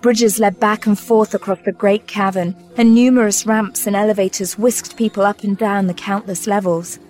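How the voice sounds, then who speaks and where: A woman narrating a scripted audio drama in a measured, descriptive storytelling manner. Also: mid-range voice, steady tone, a little mellow. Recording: music bed behind narration.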